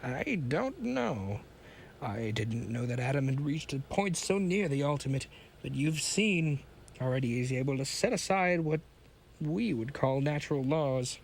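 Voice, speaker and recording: A man voicing a huge, shaggy, frightened scientist with a German accent who talks in hushed whispers. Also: medium pitch, expressive, measured pace, gravelly, slight background noise. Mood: urgent.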